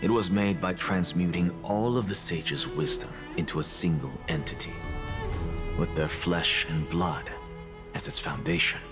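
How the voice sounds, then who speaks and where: A proud, learned alchemist in a fantasy game. The voice sits fairly low and delivers theatrical lectures with total confidence, with music clearly playing behind it. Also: male voice, fairly even intonation, measured pace. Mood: assured, explanatory. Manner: lecturing, grandiloquent. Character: confident scholarly male alchemist, theatrical and self-assured. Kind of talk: scripted dramatic game dialogue.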